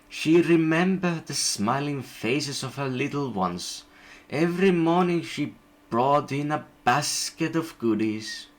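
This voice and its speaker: A man reading an illustrated storybook aloud in an even, gentle narration. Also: medium pitch.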